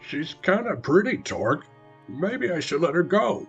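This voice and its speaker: A man's voice: on the high side, sing-song, a little slow, a bit bright, slightly rough, highs cut off, faint music behind, voice pushed up, full character voice. A man voicing dim-witted male Cyclops brutes who stammer and hesitate. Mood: dopey.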